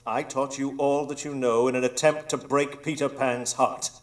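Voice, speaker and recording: A man's voice: mid-range voice, steady tone, moderate pace, close to an everyday voice. A man as a grandiose, scheming old pirate villain. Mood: gloating. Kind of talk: live radio drama acting.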